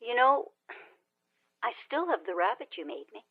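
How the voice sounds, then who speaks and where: A woman's voice: a little high, very animated, normal speed, slightly rough. An actress playing a witty, guarded elderly grandmother in an audio drama, wry and teasing, heard through a telephone filter. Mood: tender. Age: older. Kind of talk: audio drama acting, phone reunion. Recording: tinny, narrow-band phone line.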